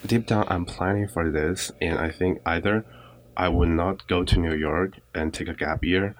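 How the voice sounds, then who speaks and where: Deep-voiced young man, reflecting casually. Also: fairly even intonation, normal speed. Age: young adult. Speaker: interview guest.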